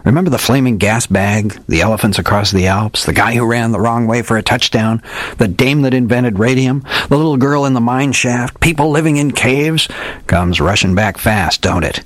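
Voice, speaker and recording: A man's voice: medium pitch, moderate pace, dry recording, pitched above the performer's own voice, close to an everyday voice. A man doing a sonorous, smug old detective. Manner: tough-guy slang, theatrical and arch. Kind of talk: reading a story aloud.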